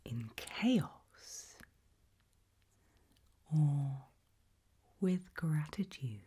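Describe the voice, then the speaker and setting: A British woman whispering an ASMR roleplay in a breathy voice, putting slow, deliberate questions with long pauses. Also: low voice, expressive, dark tone.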